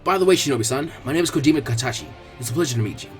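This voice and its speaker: A man's voice: mid-range voice, expressive, racing, faint music behind, lightly acted. A man reading the part of a friendly young cattle rancher and chiding older cousin in a story, polite and plainspoken.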